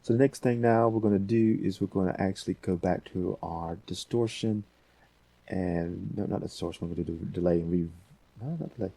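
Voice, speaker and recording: A man's voice: low-pitched, fairly quick, vocal fry, warm tone, slightly rough, dry recording. A man hosting a casual audio editing tutorial, thinking aloud in a folksy, rambling way.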